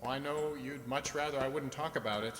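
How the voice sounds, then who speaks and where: A man's voice: mid-range voice, steady tone, brisk, a bit bright, natural voice. A man in a radio drama playing a kind, earnest young country doctor with a hesitant, tender manner. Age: young adult. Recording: echoey hall, faint background noise.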